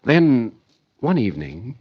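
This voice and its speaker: An anxious middle-aged patient in a vintage radio thriller. He speaks urgently and haltingly at a medium pitch, full of emotion. The old recording is dull and muffled. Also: sing-song, breathy edge, exaggerated voice. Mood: earnest recounting. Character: frantic, anxious hospital patient of forty-five. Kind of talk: old time radio drama acting.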